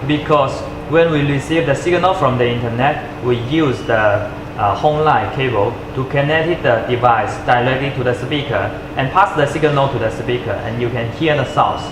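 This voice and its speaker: A man who speaks English as a second language gives an unscripted product demonstration, haltingly searching for words with uneven grammar. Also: mid-range voice. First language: not English. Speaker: product presenter. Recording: echoey room with clear background noise.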